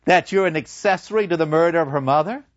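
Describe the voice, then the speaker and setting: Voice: medium pitch, quick pace, no echo, pitched above the performer's own voice, natural voice. A storyteller voicing a scheming devil, taunting and sardonic, in a dull, dry lo-fi recording. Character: mocking scheming devil, gleeful.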